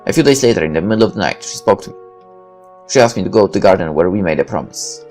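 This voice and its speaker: A man's voice: low voice, lively intonation, fast talker, darkish tone, soft background music. A man reading first-person visual novel narration aloud in a dramatic style.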